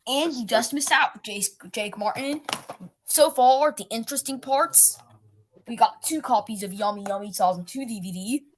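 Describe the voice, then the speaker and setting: A woman's voice: a little high, moderate pace, a little hiss or hum. Woman guest on a call, teasing. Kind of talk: casual video-call chat.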